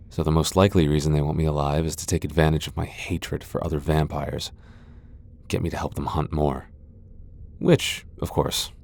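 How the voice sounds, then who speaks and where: A proud vampire lord acted out by a man speaks in a deep, dark-toned voice. He is sardonic and defiant, with a dry, sighing delivery suited to a fantasy ASMR roleplay. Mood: defiant, weary. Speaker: voice actor in a roleplay audio.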